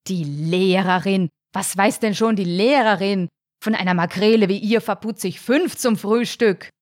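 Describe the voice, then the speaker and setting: A blustering father shark from a children's storybook, played by a woman reader. He is scornful and commanding, drawing out his indignant words slowly. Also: medium pitch, fairly even intonation, darkish tone.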